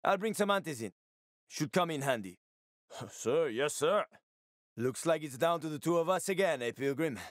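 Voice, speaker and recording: An actor playing a wry, roguish middle-aged survivor in a video game, with a sardonic, slangy, casual way of talking. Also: male voice, fairly high pitch, lively intonation, moderate pace, dry recording, clean studio sound, lightly acted. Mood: playful banter. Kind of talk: video game character acting.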